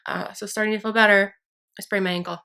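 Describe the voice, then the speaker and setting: A woman's voice: mid-range voice, level tone, fast, pushed and bright, smooth. A young woman vlogger chatting casually while doing her makeup at home, rambling and self-deprecating as she gets over a sinus infection. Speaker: beauty vlogger. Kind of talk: casual get-ready-with-me chat. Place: downstairs at home by her vanity.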